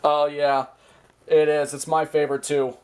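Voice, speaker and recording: A man giving an informal, excitable show-and-tell commentary, often interjecting as he goes. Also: fairly high pitch, unhurried. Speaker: video host. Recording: clearly noisy home recording.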